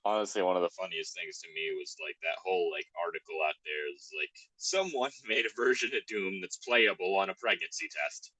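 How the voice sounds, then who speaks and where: A man's voice: low voice, fairly quick, hardly any pauses, bright, forceful tone, husky, no echo, poor audio. A man in a casual group chat, reading a story aloud with sweary, self-deprecating asides.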